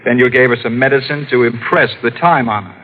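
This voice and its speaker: An actor playing a stern, methodical district attorney in a 1940s radio drama, speaking in a crisp, measured, accusing way. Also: male voice, mid-range voice, natural voice. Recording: muffled old radio broadcast.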